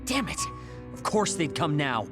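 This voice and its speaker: A man playing a hot-tempered but soft-hearted young soldier in an anime-style game dub, emphatic and openly emotional. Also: a little high, very animated, a little slow. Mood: angry. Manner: emphatic, protesting. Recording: loud music behind.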